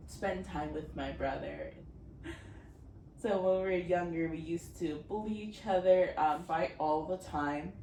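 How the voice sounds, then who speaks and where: A woman in her twenties rambles through a casual vlog chat, sprinkling in "like" and quick giggles. Her voice sits low for a woman. The mic is set back from her, so the room rings and a steady background noise hums underneath. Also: echoey room, recorded from a distance. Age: 20s. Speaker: vlogger.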